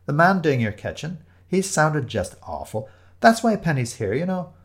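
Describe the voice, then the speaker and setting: A man's voice: on the high side, very animated, moderate pace, voice pushed up. A man voicing a chatty, bossy young woman who gushes in breezy, emphatic run-on chatter for an audio storybook. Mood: gossipy, indignant. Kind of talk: audio storybook character dialogue.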